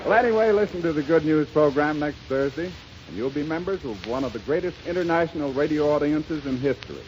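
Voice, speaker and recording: An American man reads a script as a 1930s radio announcer. His voice sits a little high. The recording is dull and band-limited, with a faint hiss. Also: expressive, quick pace.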